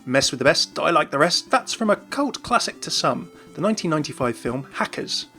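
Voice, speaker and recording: Fast-talking, higher-pitched British man. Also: big pitch swings, pushed and bright. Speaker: gaming video host. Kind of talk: enthusiastic video narration and explainer. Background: faint music.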